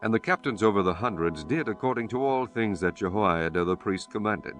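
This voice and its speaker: A man giving a solemn, measured, formal narration of scripture as an audiobook narrator. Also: on the low side, animated, fairly bright tone, faint music behind.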